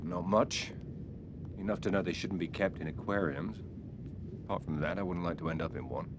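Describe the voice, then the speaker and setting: A man plays a cool British secret agent in a video game. His voice is low and controlled, with a British accent and dry, clipped phrasing, set against clearly audible background noise. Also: normal speed, lightly acted. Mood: dry, wary. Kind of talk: dramatic video game dialogue.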